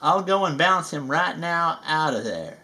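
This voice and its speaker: A man's voice: on the high side, sing-song, a little slow, pushed and bright, heavily acted. A man voicing a boisterous, cheerful cartoon tiger for a storybook, full of exuberant hooting exclamations.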